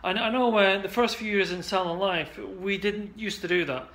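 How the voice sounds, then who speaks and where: A man's voice: high-pitched, normal speed, fairly bright tone. A man in his forties, a salon marketing coach, talking casually to a desk camera in an indoor office, chatty and upbeat, with plenty of restarts and "uh"s. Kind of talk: casual direct-to-camera pitch.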